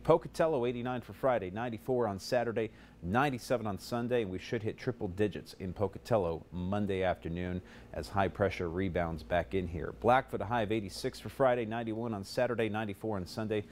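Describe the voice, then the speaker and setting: Low-voiced TV weatherman. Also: slight background noise. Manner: brisk, conversational, reads off numbers in a steady run. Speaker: TV meteorologist. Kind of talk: live TV weather forecast. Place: TV studio, in front of a weather map. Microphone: lapel mic.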